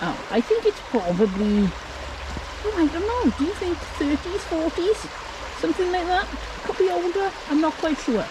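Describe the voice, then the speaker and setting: A woman's voice: very high voice, expressive, moderate pace, background noise. A woman giving casual, curious commentary while searching a stream bank, asking viewers what they think. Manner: curious, musing, asks viewers questions. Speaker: vlog co-host. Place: outdoors by a woodland stream.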